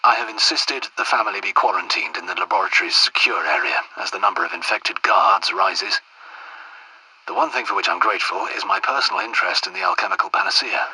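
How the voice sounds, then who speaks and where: An actor playing an anxious, earnest English royal doctor in a video game outbreak, well-spoken, polite and hesitant, with a breathy, husky voice. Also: male voice, deep voice, fairly quick, bright, forceful tone, full character voice, poor audio. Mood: grave, determined. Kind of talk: dramatic game dialogue and audio diary entries.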